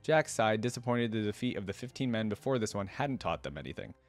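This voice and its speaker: A man's voice: lowish voice, lively intonation, fast talker, soft background music. A man reading a fantasy story aloud and adding enthusiastic, informal fan commentary as a reaction video host.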